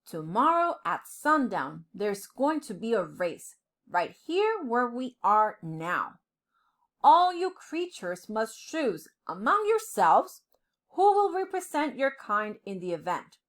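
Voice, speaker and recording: Woman as a regal male parrot, storybook character, stern, commanding, high-pitched, very animated. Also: slow. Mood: proclaiming, stern. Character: proud, stern male parrot, king of the forest.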